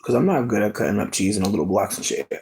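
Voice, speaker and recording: A person livestreaming casual chat with viewers, sassy and expressive with lots of swearing, talking while snacking. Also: low voice, very fast.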